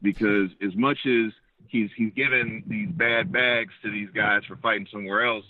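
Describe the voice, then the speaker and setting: A man calling in by phone while out on a walk outdoors, talking quickly in a casual, analytical way. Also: lowish voice, steady tone, no echo. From United States. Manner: fast, conversational, analytical. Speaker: caller. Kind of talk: phone call-in. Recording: tinny dry phone line.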